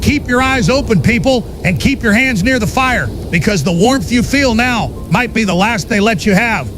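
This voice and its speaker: High-pitched paranoid doomsday ranter played by a man. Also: lively intonation, normal speed, over music, low-quality recording. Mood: accusing, urgent. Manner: accusatory, rhetorical, emphatic. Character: paranoid male doomsday conspiracy broadcaster, ranting, defiant. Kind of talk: apocalyptic monologue in a fictional audio story.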